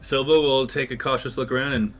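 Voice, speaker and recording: A man playing a tabletop game, describing his character's moves in a brisk, matter-of-fact way. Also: medium pitch, little pitch movement, fairly bright tone, soft background music. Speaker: player. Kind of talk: casual tabletop play, declaring character actions.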